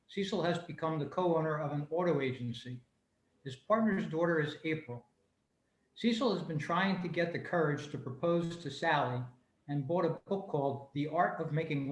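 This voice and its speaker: The sound is roomy and echoing, as on a video call. A fairly high-voiced man presents to a club, giving explanatory narration to introduce clips. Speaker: presenter at an old-time radio club. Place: video meeting, echoey room.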